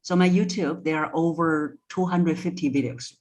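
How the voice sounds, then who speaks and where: A woman's voice: deep voice, steady tone, normal speed, a little mellow. A woman speaking as an interview guest and voice coach, explaining things conversationally and instructively with step-by-step examples. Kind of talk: conversational expert advice.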